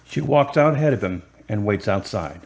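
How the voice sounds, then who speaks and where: A man's voice: fairly low pitch, animated, normal speed, gravelly, a little mellow. A man reading scripted narration for a radio drama, describing the action.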